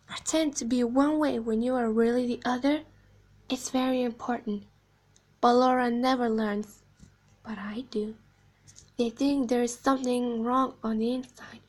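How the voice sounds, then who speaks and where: An eerie animatronic clown girl from a video game, played by a woman, speaks in a high, girlish pitch. Her delivery is deliberate and hushed, sweet on the surface with a sinister undertone, like a secret being told slowly. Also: steady tone. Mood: secretive, sly. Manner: slow, deliberate, hushed storytelling, dropping into whispers. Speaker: amateur voice actor. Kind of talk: video game character monologue.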